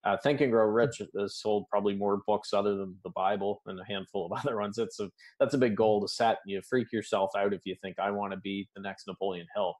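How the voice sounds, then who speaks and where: Easygoing Canadian man, lowish voice. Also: fairly even intonation, brisk, hardly any pauses, a little mellow. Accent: Canadian, Maritime. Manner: conversational, upbeat, laughs lightly, uses folksy sayings. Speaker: business coach, guest. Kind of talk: casual interview answers.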